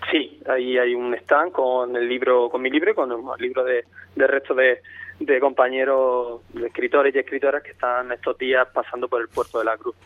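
A man's voice: mid-range voice, flat delivery, moderate pace. Man from Murcia on a phone line. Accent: mixed Murcian and Andalusian Spanish. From Lorca, Murcia, Spain. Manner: thoughtful, many restarts and repeated words. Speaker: author and guest. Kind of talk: radio interview over the phone.

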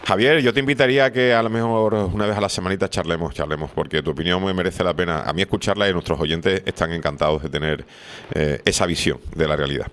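A man's voice: low-pitched, fast talker, fairly bright tone. A man hosting a radio talk show, chatting with guests in a friendly, conversational manner. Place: radio studio.

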